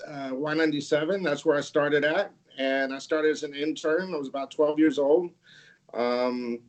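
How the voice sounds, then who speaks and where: A middle-aged man from California, a longtime radio DJ, tells his story in an easygoing, rambling way. His voice sits a little higher than most men's, and he chuckles as he goes. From Santa Barbara, California. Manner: laid-back, laughing, rambling anecdotes. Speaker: radio DJ guest. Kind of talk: casual interview storytelling.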